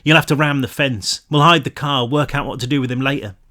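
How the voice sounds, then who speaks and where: A man's voice: medium pitch, fairly quick, bright, forceful tone, natural voice. Man reading as terse, wary young man.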